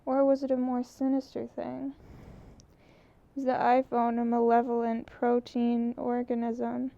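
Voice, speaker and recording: A woman reads a novel aloud in a measured, even manner, with occasional slips. Her voice is gravelly, mellow and dark in tone, and stays flat with little rise or fall. The muffled, lo-fi recording dulls the sound. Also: a little high. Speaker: audiobook narrator. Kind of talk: novel reading.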